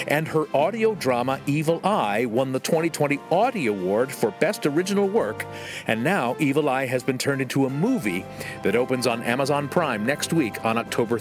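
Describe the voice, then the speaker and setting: A man with an American accent reading a scripted podcast introduction as the host. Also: medium pitch. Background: music under the voice.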